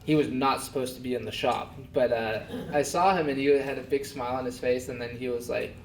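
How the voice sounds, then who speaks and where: A young man from Denmark talks casually as an interview guest, pausing on fillers and restarting his sentences. His voice sits at an ordinary pitch. He is picked up from a little way off the mic, in a roomy pub with some noise behind. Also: fairly even intonation, distant mic. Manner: soft-spoken, halting with many fillers. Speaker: guest, race car driver.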